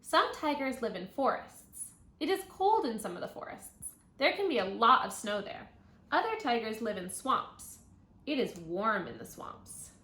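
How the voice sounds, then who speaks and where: A woman teacher reading a children's nonfiction book aloud, thinking out loud and guiding young listeners as she goes. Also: a little high, very animated, moderate pace. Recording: roomy echo, audible background noise.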